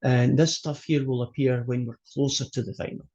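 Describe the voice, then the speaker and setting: A man's voice: medium pitch, steady tone, normal speed, a little mellow, muffled recording. A Scottish man, a college math instructor, introducing his course over a video call in a chatty, joking way. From Scotland. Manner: chatty, joking, loose with fillers. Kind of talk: online class introduction.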